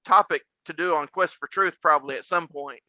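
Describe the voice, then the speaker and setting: A man's voice: on the high side, a little fast, pushed and bright, no echo, highs cut off. A man hosting a casual faith podcast like a preacher, rambling and repeating words for emphasis.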